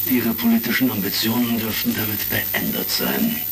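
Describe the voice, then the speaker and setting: Male actor as authoritative male spy chief, low pitch, measured, sci-fi radio play, noisy vintage recording. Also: sing-song, creaky. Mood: measured, genial. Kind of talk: retro sci-fi audio drama acting. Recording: crackly vintage record, slightly distant.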